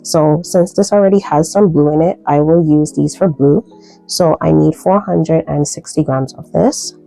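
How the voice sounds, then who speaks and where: A woman from Barbados, her Barbadian accent softened, talks through a soap-making tutorial in a relaxed, chatty way, full of ums and asides. Her voice sits low and mellow with a gravelly edge, and faint music plays underneath. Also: moderate pace, poor audio. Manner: relaxed, chatty, many fillers, light laughs. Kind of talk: casual tutorial chat.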